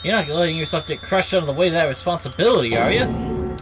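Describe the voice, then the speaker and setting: A man's voice: on the high side, expressive, fast, background noise, lightly acted. A man voicing a tough young game character with a blunt, condescending, challenging way of talking. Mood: goading. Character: tough, goading young gang-leader type, simulated. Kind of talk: video game dialogue read aloud.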